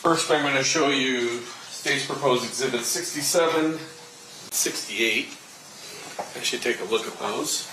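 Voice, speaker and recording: An American man questioning a witness as prosecutor in court, halting and feeling for his words. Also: medium pitch, pushed and bright. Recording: echoey courtroom, distant mic, clear noise.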